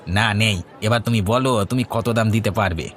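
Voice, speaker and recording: A man voicing a sly, grumpy village fisherman in a cartoon, gruff and cagey. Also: on the low side, moderate pace, a bit bright, close to an everyday voice. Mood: curt, stubborn. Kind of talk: cartoon voice acting.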